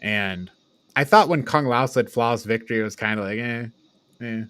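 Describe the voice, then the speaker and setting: A man with a mid-pitched voice talks casually as a review show host. His manner is agreeable and informal, with the odd swear word. The recording is dry and close, with little room sound. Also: normal speed, a bit bright, clean tone, no room sound. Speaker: podcast host. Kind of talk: casual movie review chat.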